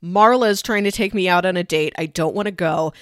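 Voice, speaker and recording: A woman's voice: on the low side, brisk, fairly bright tone, no echo. Chatty woman podcaster, dry close sound. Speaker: podcast co-host. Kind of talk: casual chat about films.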